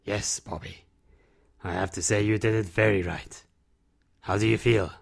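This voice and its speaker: A man playing a gruff, solemn older recluse with a terse, grave manner in an amateur audio drama. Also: lowish voice, fairly even intonation, normal speed. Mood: reassuring.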